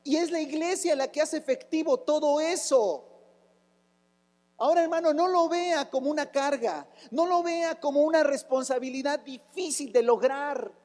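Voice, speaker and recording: High-pitched raspy preacher man. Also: moderate pace, a little mellow, big-room sound. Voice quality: raspy, rough voice. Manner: fervent preaching with rhetorical questions and sudden exclamations. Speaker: pastor. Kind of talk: sermon.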